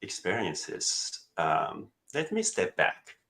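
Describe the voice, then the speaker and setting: A man's voice: low-pitched, huge pitch swings, takes it slowly, fairly bright tone. A middle-aged man from Japan with a Japanese accent, chatting as a guest over a video call, self-joking and hesitant with fillers. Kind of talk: casual interview chat.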